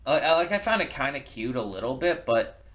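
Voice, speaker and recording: A man giving casual opinion commentary as a reaction video host, speaking haltingly and often restarting his words. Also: medium pitch, sing-song, fairly bright tone.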